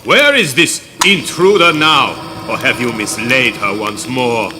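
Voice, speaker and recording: An actor playing an imperious, menacing villain boss with a volatile temper and formal, withering speech in a video game. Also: male voice, medium pitch, expressive, background noise, lightly acted. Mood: furious. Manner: slow, formal, withering questions. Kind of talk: video game cutscene voice acting.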